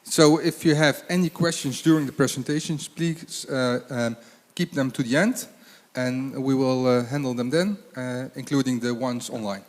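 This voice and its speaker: A man, a consultant, presenting at a conference, speaking haltingly with many fillers and restarts. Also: mid-range voice. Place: conference hall with audience. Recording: long hall reverb.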